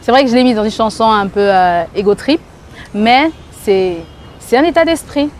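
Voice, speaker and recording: Thoughtful, high-voiced woman singer. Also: normal speed, a little hiss or hum. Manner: thoughtful, searching for words with frequent hesitations. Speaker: singer interviewed as a guest. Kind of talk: casual interview talk.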